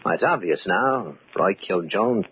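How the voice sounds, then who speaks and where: An actor playing a suspicious, evasive business partner in an old-time radio mystery, speaking in a formal, insistent, clipped way. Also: male voice, sits low, animated, moderate pace, slightly rough, exaggerated voice. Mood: smooth reasoning. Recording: muffled, noisy old radio recording.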